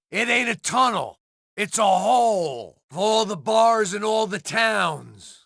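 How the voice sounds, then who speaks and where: An actor playing a weary, hard-drinking middle-aged ex-cop, delivering noir inner monologue with dry sardonic wit. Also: male voice, on the high side, vocal fry, fairly bright tone, no room sound, exaggerated voice. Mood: shouting.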